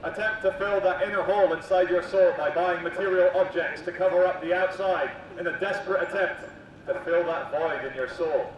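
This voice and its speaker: A man's voice: sits high, brisk, slight background noise, pitched above the performer's own voice. A man playing a mock authoritarian city announcer through a megaphone, preaching obedience in a satirical public address. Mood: mock-official, preachy. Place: outdoor city plaza. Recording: echoing megaphone outdoors, far off, muffled.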